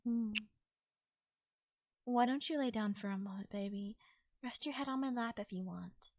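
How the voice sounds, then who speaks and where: Affectionate girlfriend, played by a woman, comfort audio roleplay. Lightly high pitch, soothing and intimate, very dry close-mic sound. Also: moderate pace, a little mellow, dry recording, lightly acted. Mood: tender. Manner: tender, soothing, coaxing, breathy near-whispers at times. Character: caring, affectionate girlfriend comforting a tired partner. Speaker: voice actor. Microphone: close microphone in a dry space.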